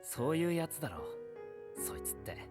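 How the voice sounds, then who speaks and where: A man's voice: fairly high pitch, very animated, racing. A man acting an earnest, kind young man in an anime drama. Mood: earnest, gentle. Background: music.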